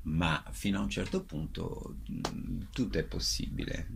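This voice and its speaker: Guy with a really deep creaky voice, theatre director explaining stuff on radio, stops and restarts a lot. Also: wildly swooping pitch, a little slow, noisy background. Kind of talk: radio interview, explanatory talk.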